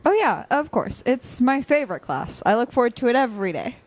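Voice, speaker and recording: A woman's voice: on the high side, very animated, a little fast. A woman playing a sarcastic young college student villain in a naturalistic audio drama, with a dry, teasing manner. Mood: wry. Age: young adult.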